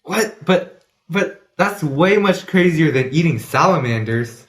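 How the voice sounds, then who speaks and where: A man's voice: fairly high pitch, animated, measured pace, a bit bright. A man playing a boastful but secretly nervous young boy in an amateur audio drama. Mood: uneasy.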